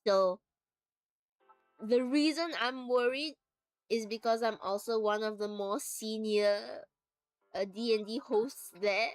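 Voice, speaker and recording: Woman, casual stream chat, slightly high voice, laughs often, faint background music. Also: takes it slowly, long pauses, low-quality recording. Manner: chatty, laughs often, acts out quoted voices.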